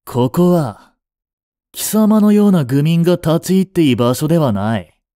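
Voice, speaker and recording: A man's voice: a little high, expressive, moderate pace, mellow, no echo, clean studio sound, natural voice. A man playing a devoted, jealous young butler in a voice drama, with formal, clipped, haughty speech. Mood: scornful, threatening. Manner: formal, polite, clipped, turning contemptuous toward a rival. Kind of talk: Japanese voice drama acting.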